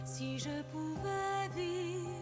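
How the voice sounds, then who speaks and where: A woman's voice: extremely high pitch, takes it slowly, over loud music. A woman performing a sorrowful young stage heroine, singing theatrically in French for a game. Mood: sorrowful, yearning. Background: loud musical accompaniment.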